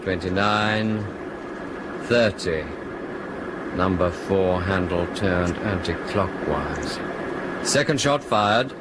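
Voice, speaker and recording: An actor playing a focused co-pilot in a radio drama, speaking in a clipped, procedural way. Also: male voice, low-pitched, slow, long pauses. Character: focused male co-pilot handling radio and drills. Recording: loud aircraft noise over voice.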